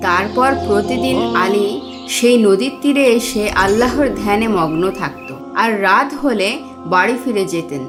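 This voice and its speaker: A woman's voice: mid-range voice, animated, normal speed, music in the background. A woman narrator reading a scripted story.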